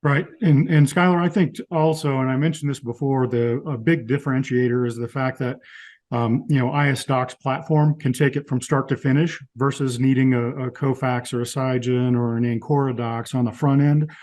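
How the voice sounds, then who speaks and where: A man with a medium-pitched voice joins a product demo as co-presenter. He talks in a conversational, businesslike way, adding points about the software. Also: fairly even intonation, normal speed. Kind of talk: live product demo discussion.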